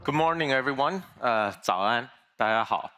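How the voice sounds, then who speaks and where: A man's voice: mid-range voice, expressive, moderate pace, pushed and bright, clean tone, soft background music. A man from Taiwan giving a conference keynote in a conversational way, with fillers and restarts. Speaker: keynote speaker. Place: large conference hall.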